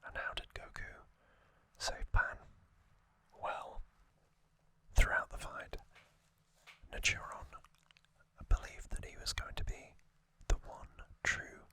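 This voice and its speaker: A man whispers in a breathy ASMR style, taking his time over each phrase. The sound is soft yet very bright and sibilant, as he informally explains trivia like a host guiding a listener. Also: slow pace, pauses often, no room sound.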